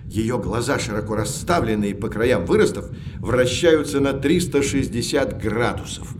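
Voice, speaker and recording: An actor playing a learned professor who explains things articulately to children in an educational audio drama. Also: male voice, medium pitch, expressive, normal speed, noisy background, close to an everyday voice. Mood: patient, informative. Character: learned male professor guiding children on sea voyage.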